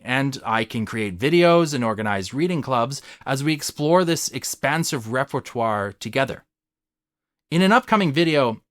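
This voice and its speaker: A man's voice: mid-range voice, a bit bright, no room sound, studio quality. A man narrating a scripted video essay to viewers in a formal, articulate and emphatic manner. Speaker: video essayist and theatre artist.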